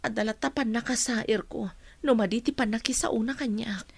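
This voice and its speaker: Radio drama role: long-suffering, caring mother of middle age played by a woman, slightly high-pitched, dry studio sound. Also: moderate pace, creaky, no room sound. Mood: sad, resigned. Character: caring, long-suffering middle-aged mother worried about her daughter.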